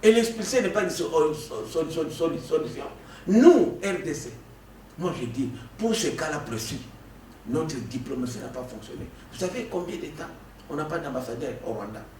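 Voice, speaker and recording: A man from the Congo giving an animated political interview, emphatic and prone to stammering restarts. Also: on the high side, moderate pace. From Democratic Republic of the Congo. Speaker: political guest. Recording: echoey room, distant mic, faint noise.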